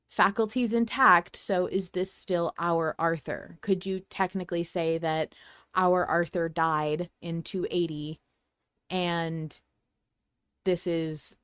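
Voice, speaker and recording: A woman's voice: on the low side, fairly bright tone, clear, resonant tone, no room sound, clean studio sound. A woman hosting a podcast, talking casually and speculatively as she thinks aloud and hedges her points. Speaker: podcast host.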